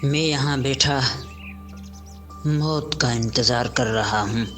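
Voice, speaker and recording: A frail old villager, crippled and resigned, waiting out his last days, as a man acts him. He speaks very slowly in a bright, tense voice, a little off the mic, with music under the scene of this audio drama. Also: medium pitch. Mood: weary. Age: older.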